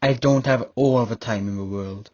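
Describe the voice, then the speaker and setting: A formal, impatient male aristocrat voiced by a man from a fantasy audio drama. His pitch is average and his tone haughty. The recording is close and dry, slightly muffled. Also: normal speed, a little mellow, slightly rough, no echo, lightly acted. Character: busy, impatient male fantasy noble with meetings to attend. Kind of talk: scripted fantasy audio roleplay.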